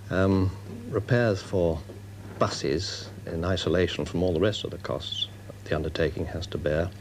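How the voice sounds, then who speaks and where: A British director answers interview questions in a deep male voice. He is formal and considered, building long, wordy sentences. Faint noise sits under the old film soundtrack. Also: darkish tone. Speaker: company engineering director.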